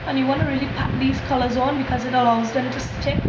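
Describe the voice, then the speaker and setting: Hoarse high-voiced woman, echoey and noisy. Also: quick pace. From Trinidad. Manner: calm step-by-step instructions, soft fillers. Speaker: beauty vlogger. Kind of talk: makeup tutorial. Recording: echoey room with loud background noise.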